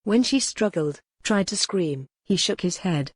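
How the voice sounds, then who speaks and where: A woman's voice: fairly low pitch, animated, unhurried, breathy edge, dry recording. A woman reading a historical novel as scripted, dramatic audiobook narration.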